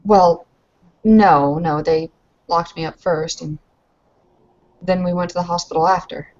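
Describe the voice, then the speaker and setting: A woman playing an earnest, shaken, underage young woman in a tabletop roleplaying game, halting and hesitant as she speaks. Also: fairly low pitch, steady tone, darkish tone, muffled recording, natural voice. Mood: upset, defensive.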